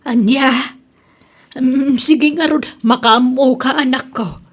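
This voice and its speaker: An actress playing a homely, concerned, questioning woman in an Ilocano radio drama, with an Ilocano accent and a breathy, husky voice. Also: high-pitched, fairly even intonation, slow. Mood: worried, caring.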